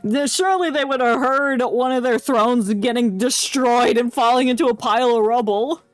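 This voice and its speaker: A woman's voice: on the high side, moderate pace, dry recording. A woman streaming a game, giving casual, playful and emotive live reactions to the story. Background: game music.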